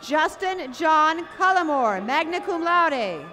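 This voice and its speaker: A woman reading graduates' names one by one at an outdoor commencement, slow and careful. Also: high voice, sing-song, pushed and bright. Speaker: commencement name reader.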